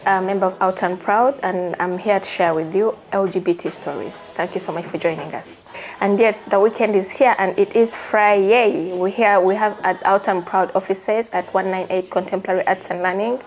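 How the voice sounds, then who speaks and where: A woman's voice: medium pitch, a little mellow. A woman hosting a conversational interview. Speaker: host.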